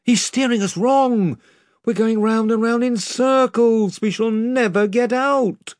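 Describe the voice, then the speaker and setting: A man's voice: extremely high pitch, takes it slowly, a little mellow, no room sound, studio quality, pitched above the performer's own voice, heavily acted. A man voicing a group of despairing male rowers who moan. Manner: moaning. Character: despairing male sailors rowing.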